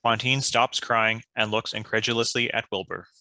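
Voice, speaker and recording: A man's voice: medium pitch, level tone, moderate pace, pushed and bright, dry recording. A man reading scripted stage directions as the narrator.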